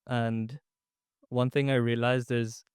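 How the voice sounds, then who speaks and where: A man's voice: mid-range voice, flat delivery, slow pace, clean tone, no echo, clean studio sound. A young man in his late teens from Tanzania, a student panelist answering reflectively with hesitant fillers.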